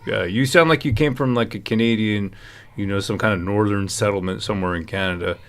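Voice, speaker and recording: A man's voice: on the low side, a little fast, a bit bright, slight background noise. A man hosting a livestream, chatting loosely and informally in a jokey, rambling way that repeats itself. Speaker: livestream host. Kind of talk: loose, joking casual chat.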